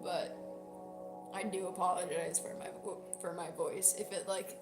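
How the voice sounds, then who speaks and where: A woman with a hoarse, strained voice that breaks up at times, chatting casually and jokingly with livestream viewers. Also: on the low side, steady tone, moderate pace. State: hoarse, sore strained voice from singing. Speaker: livestream host, singer. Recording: roomy echo, loud music behind. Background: loud background music.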